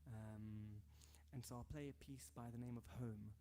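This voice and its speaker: A man's voice: medium pitch, fairly even intonation, normal speed, dark tone. A man hosting a live guitar stream from home, chatting loosely with viewers, thanking them and reading their comments aloud with lots of fillers and restarts. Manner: hesitant and rambling, many fillers and restarts. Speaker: livestream host and guitarist.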